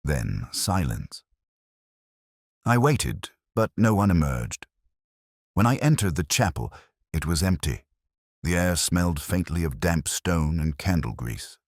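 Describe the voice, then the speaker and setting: A man reading a first-person detective story as narrator, in a measured, brooding, reflective way, close to a studio mic. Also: low voice, big pitch swings, no echo.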